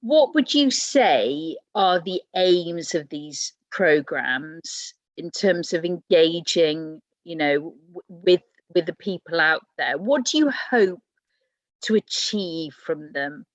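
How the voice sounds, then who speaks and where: A woman's voice: fairly low pitch, lively intonation, slow pace, no room sound. A woman interviewing a podcast host, asking questions in a friendly, flowing way. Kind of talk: conversational interview.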